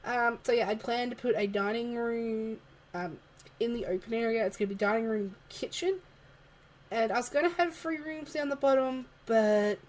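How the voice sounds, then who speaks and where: A woman, chatting softly and laughing often while narrating a game build, her voice a little worn. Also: on the high side. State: losing her voice. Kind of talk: casual voice-over chat. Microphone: home desk microphone, moved closer partway.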